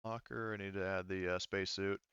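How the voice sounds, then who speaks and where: Male game master, low smooth voice, casual and halting, thinking aloud; dry, slightly dull recording. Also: little pitch movement, fairly bright tone, dry recording. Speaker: game master of a tabletop roleplaying game. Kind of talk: casual unscripted game narration and rules talk.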